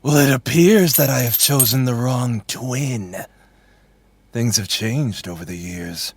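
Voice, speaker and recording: Slow, smug possessing villain. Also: male voice, medium pitch, breathy edge, dry recording. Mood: amused, smug. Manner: theatrical, smug, formal wording. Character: mocking, condescending male villain possessing her twin brother.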